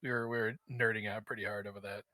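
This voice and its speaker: A man's voice: on the low side, little pitch movement, quick pace, sharp tone, dry recording. A man chatting casually as a podcast guest, feeling his way through his thoughts and wandering off on tangents. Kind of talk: casual chat about movies.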